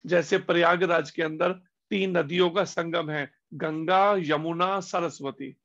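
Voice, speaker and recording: High gravelly man giving a sermon. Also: steady tone, fairly bright tone. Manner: slow, deliberate emphasis with pauses on key words; rhetorical questions to listeners. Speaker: spiritual teacher. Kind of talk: devotional discourse. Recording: dull lo-fi recording.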